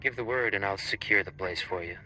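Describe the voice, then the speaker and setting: An actor playing a cold, ruthless undercover agent in a video game, curt and commanding. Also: male voice, fairly low pitch, fairly quick, bright, forceful tone, soft background music, low-quality recording. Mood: confident, gruff.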